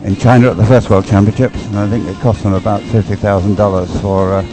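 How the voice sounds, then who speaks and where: Deep-voiced male sports commentator, halting delivery. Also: little pitch movement, over music, poor audio. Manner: hesitant, halting, repeats words while explaining. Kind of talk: live race commentary, unscripted and explanatory.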